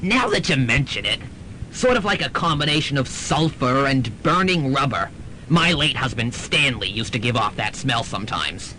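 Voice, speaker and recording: A man's voice: sing-song, normal speed, sharp tone. An actor playing a frank, motherly older psychic aunt who talks in a folksy way. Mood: chatty. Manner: folksy, frank, calls people honey. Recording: faintly noisy student radio recording, rumble.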